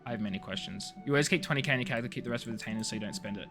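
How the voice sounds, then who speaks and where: A man's voice: medium pitch, rapid-fire, bright, forceful tone, faint music behind. A man chatting casually to viewers as a video game streamer, playful and teasing, rambling from one thought to the next.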